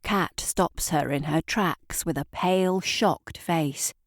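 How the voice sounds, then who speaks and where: A woman narrating a scripted literary story in a measured, theatrical storytelling manner. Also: low voice, no room sound, studio quality. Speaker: author and narrator.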